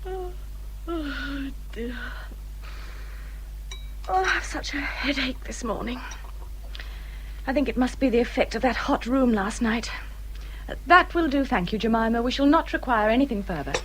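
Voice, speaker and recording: An actress playing a peevish, self-pitying young married gentlewoman in a period drama, with an English accent and a fretful, self-important manner. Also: high-pitched, animated, a little slow, pauses often, slight background noise, low-quality recording. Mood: condescending. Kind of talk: British period radio drama acting.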